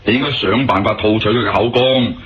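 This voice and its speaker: A man's voice: mid-range voice, quick pace, crowd noise, natural voice. A man with a Hong Kong Cantonese accent playing a matter-of-fact police officer in a radio drama. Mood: inquiring, advising. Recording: lo-fi radio sound, faint background noise.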